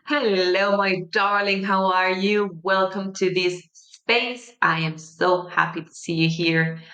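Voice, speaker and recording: A young woman from Mexico, a native Spanish speaker with a Mexican Spanish accent, hosting her podcast in a gentle, reflective monologue with soft pauses. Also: mid-range voice, steady tone, low-quality recording. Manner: gentle, warm-hearted, unhurried with soft pauses. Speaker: podcast host.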